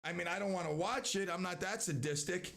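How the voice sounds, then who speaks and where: Gravelly-voiced man rambling on a stream. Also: sits high, brisk, a bit bright. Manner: rambling, with frequent restarts and asides. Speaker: live stream host. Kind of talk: casual rambling commentary.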